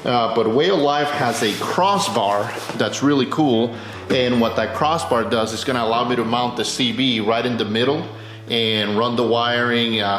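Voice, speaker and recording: A man's voice: mid-range voice, a bit bright, a little hiss or hum. A man hosting a casual, unscripted how-to video, explaining gear in a conversational way as he unboxes it. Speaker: video host demonstrating car accessory installation. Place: tight indoor space, roomy sound. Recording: roomy echo, music underneath.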